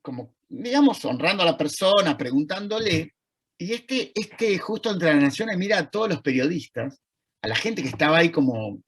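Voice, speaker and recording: A man with an Argentine accent giving an online talk, conversational and thoughtful, pausing to search for words. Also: on the high side, fairly bright tone, husky. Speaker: lecturer. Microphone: video call.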